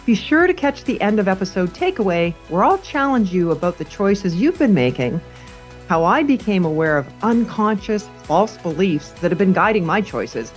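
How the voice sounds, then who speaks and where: A woman hosting a podcast, reading an upbeat scripted introduction and then asking interview questions. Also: mid-range voice. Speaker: podcast host.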